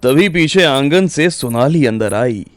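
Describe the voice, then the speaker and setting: A man's voice: mid-range voice, lively intonation, a little slow, no room sound. A man narrating a scripted audio drama story.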